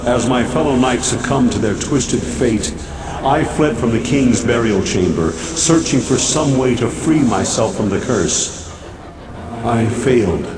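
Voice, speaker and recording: An actor playing a cursed, undead knight captain in a video game, solemn and pleading with formal, honourable speech. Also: male voice, medium pitch, close to an everyday voice. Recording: distant, cavernous reverb, clearly noisy.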